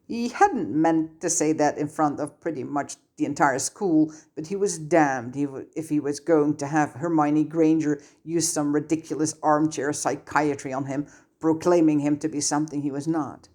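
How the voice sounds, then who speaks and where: A woman with a low-pitched voice reads fiction aloud. She delivers scripted narration as the author and storyteller. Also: normal speed, a little mellow. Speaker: narrator reading own fan fiction.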